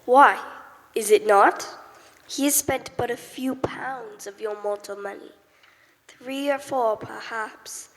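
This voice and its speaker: A woman playing a calm, probing ghost of Christmas past in a live radio drama, speaking in a measured, stately, questioning way. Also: fairly high pitch. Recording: live in reverberant church hall.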